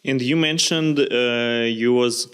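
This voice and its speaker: A man's voice: mid-range voice, slow, fairly bright tone, clear, resonant tone. A man from the CIS region hosting a podcast, asking questions in slightly broken English while searching for words. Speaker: podcast host. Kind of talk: casual interview questions.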